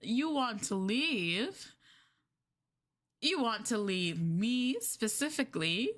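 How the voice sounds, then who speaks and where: A woman acts out a possessive yandere girlfriend in an ASMR roleplay. She speaks very slowly in a highish voice, cooing and coaxing the listener with sweet menace. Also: lively intonation, a bit bright, exaggerated voice. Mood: mocking, unhinged. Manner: cooing, coaxing, breaking into giggles and whispers.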